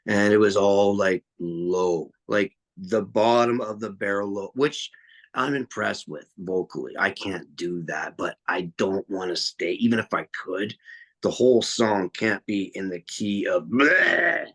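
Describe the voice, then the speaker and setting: A man's voice: lowish voice, unhurried, fairly bright tone. A man, a metal vocalist, talking bluntly and at length in a casual podcast interview, swearing freely. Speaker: metal vocalist and guitarist guest.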